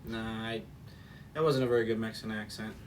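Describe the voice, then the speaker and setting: A man co-hosting a casual comedy podcast, joking in a slow, drawn-out way. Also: on the low side, fairly even intonation. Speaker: podcast co-host.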